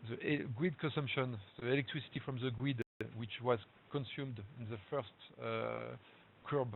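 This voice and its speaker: A man with a strong French accent presents over a webinar line, hesitant and full of fillers as he searches for words in English. His voice has a gravelly edge. The audio is thin and phone-like, with a faint hiss behind it. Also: medium pitch. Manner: hesitant, many fillers and restarts. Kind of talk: halting presentation in a second language. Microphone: web conference audio. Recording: tinny phone-like web call audio with hiss.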